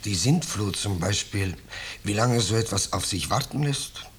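Low-voiced, sly, wheedling vagrant. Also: male voice, expressive, a bit bright, husky, heavily acted. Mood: musing. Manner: unctuous, wheedling, deliberate, falsely modest. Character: ingratiating vagrant stranger, slyly menacing, mock-humble. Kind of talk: 1950s German radio play acting. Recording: noisy 1950s radio drama recording.

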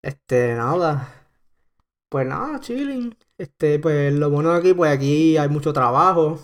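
Young puerto rican man, slightly high voice, casual podcast chat, rambling with fillers. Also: lively intonation, unhurried. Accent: Puerto Rican Spanish. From Puerto Rico.

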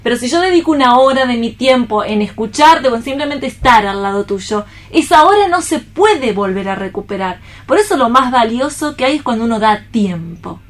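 A woman's voice: fairly high pitch, expressive, normal speed, few pauses. A woman with an Argentine accent speaking casually as a theatre performer on a discussion panel.

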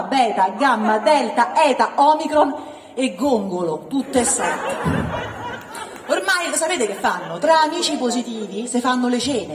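A woman does stand-up, chatting colloquially with the audience. She sounds playful and mock-boastful. She is recorded from a distance in a reverberant hall with clear noise. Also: sits high. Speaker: stand-up comedian. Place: live indoor venue before audience. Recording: echoey hall, distant, noisy with crowd.

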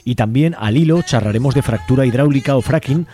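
A man's voice: mid-range voice, quick pace, warm tone. A man hosting a radio show in an informal, chatty, colloquial way. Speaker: radio host. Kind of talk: casual radio presenting. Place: radio studio.

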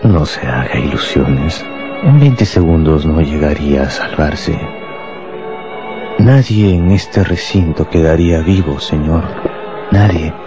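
An actor playing a proud, menacing professor of explosives in a radio drama, speaking deliberately and grandly with deadly calm. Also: male voice, very deep voice, over music, exaggerated voice. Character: cold fanatical bomb-making professor, proud and deadly calm. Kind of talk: Spanish-language radio drama acting.

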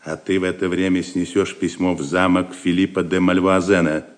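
A man's voice: sits low, level tone, moderate pace, close to an everyday voice. An actor playing a domineering Norman castle lord in an audio drama, commanding and threatening in his delivery. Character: domineering, menacing Norman baron, master of the castle. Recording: long hall reverb, faint music underneath.

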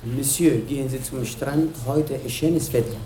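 A man's voice: mid-range voice, steady tone, measured pace, warm tone, slight background noise, natural voice. Actor as polite hotel boy, echoey. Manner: polite, deferential. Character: polite North African hotel reception boy. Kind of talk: radio play acting.

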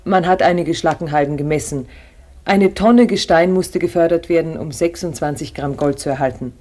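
A woman playing a calm, knowledgeable narrator, delivering scripted narration in a radio play. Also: sits low, moderate pace. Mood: measured. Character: calm, knowledgeable female narrator explaining history.

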